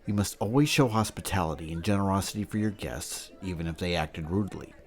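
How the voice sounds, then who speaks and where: A man playing a learned character who patiently explains old myths and customs in a measured, didactic way, in an audio drama. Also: deep voice. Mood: patient, instructive.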